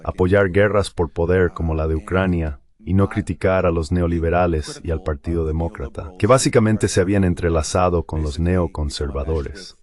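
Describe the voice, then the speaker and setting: A man delivering a dubbed political panel commentary as a voice-over, arguing in a measured, articulate way. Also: deep voice, a little mellow.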